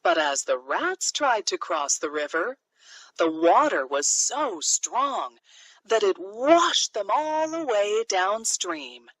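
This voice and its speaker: A woman narrating a children's fairy tale from a script, in an expressive, deliberate storytelling manner. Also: medium pitch, no room sound, poor audio.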